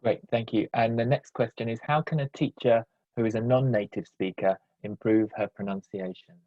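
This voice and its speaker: A woman's voice: very deep voice, fairly even intonation, moderate pace, dark tone, no room sound. A woman moderating a webinar, reading out questions from the audience. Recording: dull lo-fi video call.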